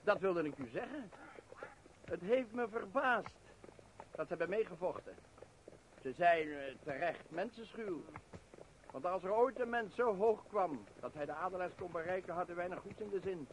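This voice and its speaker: An actor playing a humble bird expert of the mountains in a radio drama. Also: male voice, high-pitched, expressive, normal speed, slight background noise, poor audio. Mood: earnest, reflective.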